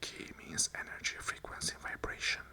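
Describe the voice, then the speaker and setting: Bright, sharp whisper, fully unvoiced. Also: normal speed. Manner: whispers the whole way, soft and unvoiced; earnest, repeating affirmations in short phrases. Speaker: ASMR channel host. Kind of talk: unscripted whispered ASMR monologue.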